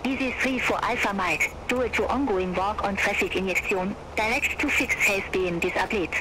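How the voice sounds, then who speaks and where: A man's voice: high voice, normal speed. A computer-generated man's voice giving clipped, formal air traffic control replies. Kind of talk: synthetic radio call.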